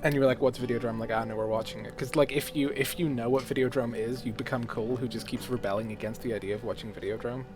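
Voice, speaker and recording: A man co-hosting a casual chat among friends, joking in a deadpan, mock-serious way. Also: medium pitch, fast talker, hardly any pauses, music in the background. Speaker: co-host answering questions.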